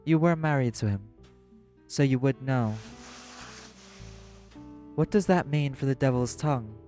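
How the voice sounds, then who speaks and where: An audio-drama socialite of the criminal underworld, acted by a man, apathetic and guarded. The voice sits at a medium male pitch and moves deliberately, in measured phrases. Music plays clearly behind it. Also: dark tone, close to an everyday voice. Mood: tense, probing. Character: apathetic socialite crime-club lieutenant, guarded and questioning. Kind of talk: dramatic audio roleplay acting.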